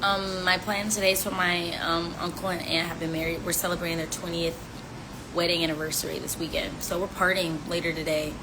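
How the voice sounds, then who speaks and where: A woman's voice: lowish voice, flat delivery, fairly quick. Chatty woman in her twenties on a live stream. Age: 20s. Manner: chatty, teasing, playful rhymes. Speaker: livestream host answering fan questions. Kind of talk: casual chat on a live stream. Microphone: phone. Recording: audio from a phone live stream with background noise.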